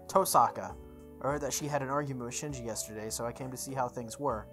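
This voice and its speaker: An earnest schoolboy from a visual novel, acted out by a woman, speaks in a low register. He sounds puzzled and questions or protests what he hears. Faint music runs behind him. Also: quick pace.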